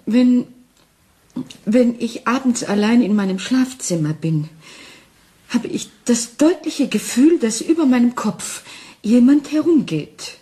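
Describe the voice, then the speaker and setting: An actress playing a frightened wife who doubts her sanity, speaking anxiously and haltingly in a German crime radio play. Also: a little high, animated, warm tone. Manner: anxious, halting, intense, dropping into whispers.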